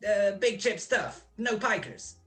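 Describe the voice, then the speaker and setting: A woman's voice: medium pitch, very animated, slow, a bit bright. A woman playing a nervous male stool pigeon in a radio crime drama, stammering, slangy and pleading. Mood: anxious, confiding. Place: recorded at home over a video call.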